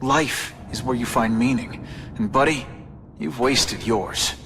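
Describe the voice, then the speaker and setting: A man's voice: mid-range voice, takes it slowly, fairly bright tone, slight background noise. An actor playing a young male superhero in a video game, earnest and reproving with dry wisecracks. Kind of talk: video game hero voice acting.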